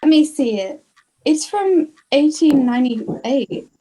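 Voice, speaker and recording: A woman's voice: high-pitched, expressive, takes it slowly, mellow, rough recording. An Australian girl of about ten playing a sensible, careful modern schoolgirl in an amateur radio play, recorded at home. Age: 10 to 11, child. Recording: home video-call recording with music.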